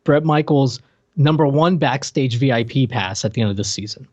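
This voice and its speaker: Casual male podcast co-host, medium pitch. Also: moderate pace, darkish tone, highs cut off. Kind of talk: casual unscripted pop-culture chat.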